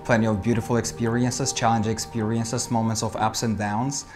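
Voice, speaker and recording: Polish-accented male amateur cyclist, thirties, measured reflective interview delivery, clear music bed underneath. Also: lowish voice, little pitch movement, darkish tone. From Poland. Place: indoor studio with dark backdrop.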